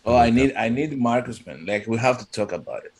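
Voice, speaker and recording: A man's voice: medium pitch, expressive, brisk, a little mellow, a little hiss or hum. A native Greek man with a Greek accent, casually hosting a livestream chat. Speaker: livestream host.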